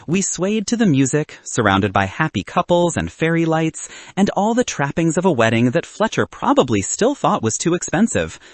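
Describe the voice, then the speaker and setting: A man with a slightly husky voice narrating a romantic comedy story from a script. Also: on the high side, very animated, normal speed, few pauses, no echo. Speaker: audiobook narrator.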